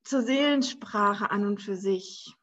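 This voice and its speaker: A woman hosting a spiritual podcast on her own, talking in a relaxed, searching way with many soft pauses and light laughs. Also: medium pitch, dull audio. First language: German. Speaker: podcast host. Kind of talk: casual solo podcast monologue.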